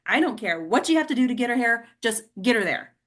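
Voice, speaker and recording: A woman playing a frantic, foul-mouthed, domineering presidential aide with a sharp-tongued, commanding delivery in a comedy play reading. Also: a little high, sing-song, fast. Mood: commanding, exasperated. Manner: sharp-tongued, commanding, stammers under pressure. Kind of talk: comedy play table read.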